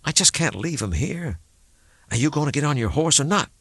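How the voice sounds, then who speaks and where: A man voicing a tough, defiant woman deputy sheriff in an audiobook, brisk and curt. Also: on the high side, lively intonation, a bit bright, slightly rough, no echo, voice pushed up, heavily acted. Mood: urgent.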